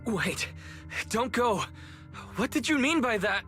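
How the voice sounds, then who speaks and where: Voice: medium pitch, lively intonation, slow, a bit bright, faint music behind. An actor playing a serious, determined investigator in a video game, earnest and analytical. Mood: urgent.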